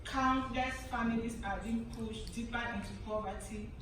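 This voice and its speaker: A woman's voice: on the high side, fairly even intonation, moderate pace. A young woman from Cameroon, giving a formal prepared address at a conference in an earnest, emphatic way, often repeating phrases. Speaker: conference speaker. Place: large conference hall. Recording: echoey hall, distant mic, background noise.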